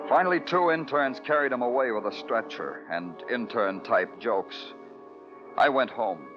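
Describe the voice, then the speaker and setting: An actor in an old radio crime drama playing a brooding, weary police detective who talks tersely and narrates in moody prose. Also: male voice, mid-range voice, lively intonation, normal speed, close to an everyday voice. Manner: terse, world-weary, poetic. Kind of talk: noir radio narration and dialogue. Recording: muffled old radio recording, music behind.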